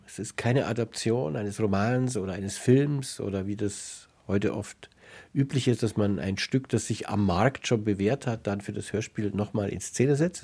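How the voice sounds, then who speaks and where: A man's voice: medium pitch, darkish tone, husky. A man moderating a radio interview in a considered, explanatory way.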